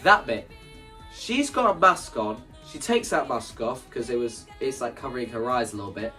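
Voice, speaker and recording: A man's voice: mid-range voice, animated, normal speed, sharp tone, faint music behind. A man talking casually and enthusiastically through his own creative ideas in an explanatory vlog, emphatic and often repeating phrases for stress. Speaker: video creator presenting own stage play.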